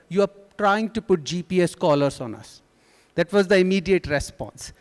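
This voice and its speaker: Man, high voice, conference panelist, conversational, lots of examples, long hall reverb. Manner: conversational, explains with examples and quoted speech.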